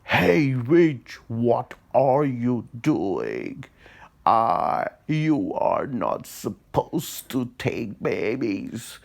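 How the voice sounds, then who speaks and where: A man voicing a brave, gruff old man who scolds loudly. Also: lowish voice, slow pace. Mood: scolding.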